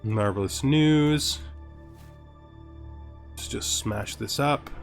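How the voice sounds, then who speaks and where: A man with a mid-pitched voice narrates a strategy game in a casual way. He muses aloud as he plays, posing questions to himself and answering them, with music playing behind his voice. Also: drawn-out words, creaky. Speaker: gamer narrating a let's play. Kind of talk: casual gameplay commentary, thinking aloud.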